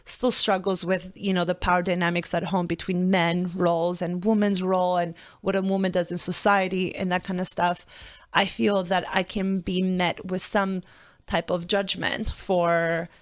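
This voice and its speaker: A woman's voice: on the low side, little pitch movement, normal speed, no room sound, clean studio sound. A young woman from Albania co-hosting a podcast, chatting casually and animatedly, acting out quoted voices and laughing often. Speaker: podcast co-host. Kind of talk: casual chat.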